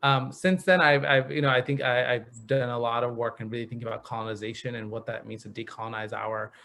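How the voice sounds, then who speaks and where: A man speaking on a video panel, thoughtful and reflective, often pausing to find the right words. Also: mid-range voice, steady tone, creaky, bright, forceful tone, slight background noise. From grew up in the US Northeast. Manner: thoughtful, searching for words, frequent restarts. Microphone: video call.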